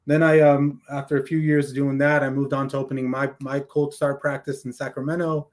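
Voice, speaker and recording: A man's voice: mid-range voice, level tone, brisk, darkish tone. A man with an American accent, speaking as an interview guest and telling his personal story conversationally. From raised in California, born in Afghanistan.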